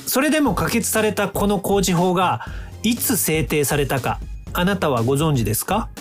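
An actor in a radio drama playing a calm, smug inventor from the future, polite and lecturing in a self-assured way. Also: male voice, high-pitched, normal speed, faint music behind. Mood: coolly confident.

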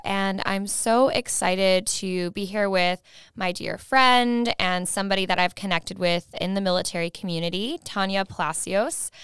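A woman's voice: medium pitch, fairly bright tone, smooth, dry recording, clean studio sound. A woman hosting a podcast, chatting casually with her guest in an enthusiastic, affirming manner. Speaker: podcast host. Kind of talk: warm casual interview chat.

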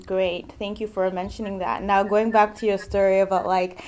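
Woman host from Zambia, mid pitch, chatty, rambling questions. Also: little pitch movement, normal speed, a little mellow. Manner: chatty, loose questions with many likes and you knows. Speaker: podcast host. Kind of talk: casual interview.

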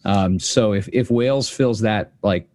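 A man hosts a podcast in easy, casual chat, his voice on the low side. He rambles, stopping to restart his sentences and dropping in fillers along the way. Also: unhurried, a little mellow. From United States. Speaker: podcast host.

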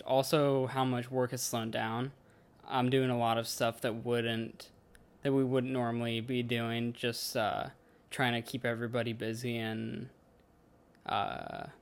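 A man's voice: mid-range voice, gravelly. A 21-year-old man with an American accent, answering interview questions casually. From Washington state, United States. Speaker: interviewee, heavy equipment mechanic.

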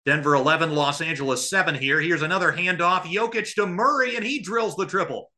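A man's voice: fairly high pitch, a little fast, bright, forceful tone. A man calling live basketball as a radio play-by-play commentator, describing the action in short running phrases and adding analysis. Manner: present-tense running call in short clipped phrases.

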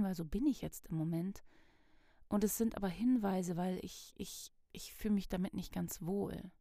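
A woman's voice: medium pitch, vocal fry, warm tone, no room sound, clean studio sound. A woman giving a casual self-help talk, reflective and thinking aloud with fillers, often acting out little inner dialogues.

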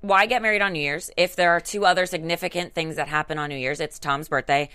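A woman with a deep voice co-hosting a casual gossip chat, making wry, questioning remarks. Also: steady tone, fast, sharp tone, clear, resonant tone, no echo. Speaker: co-host.

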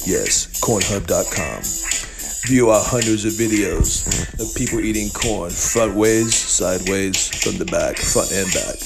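Deep-voiced man in a sleazy mock ad. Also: measured pace, gravelly. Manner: sleazy infomercial pitch. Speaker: parody ad announcer. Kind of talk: mock commercial voice-over. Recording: music bed behind.

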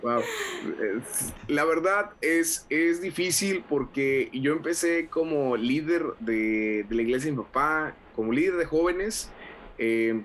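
A man's voice: on the high side, big pitch swings, slow pace, fairly bright tone, slight background noise. A young adult man from Mexico, an associate pastor speaking as a radio interview guest, fervent and full of fillers and restarts.

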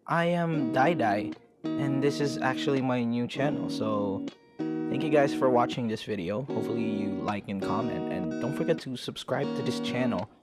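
A man's voice: mid-range voice, normal speed, over loud music. A man speaking casually as the host of his channel, addressing viewers in a sign-off.

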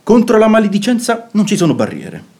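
An actor playing an urbane, principled gentleman in a theatrical radio play, speaking in an emphatic, rhetorical manner. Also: high-pitched, wildly swooping pitch, brisk, exaggerated voice. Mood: earnest protest.

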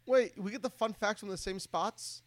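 Guy with a pretty high voice hosting a comedy podcast, stumbles over words, dry close sound. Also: normal speed, dry recording. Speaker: podcast host. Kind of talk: casual comedic chat.

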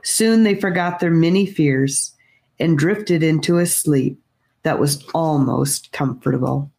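A woman reads a children's fantasy story aloud, gentle and unhurried. Her voice sits low for a woman. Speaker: audiobook reader. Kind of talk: storybook narration.

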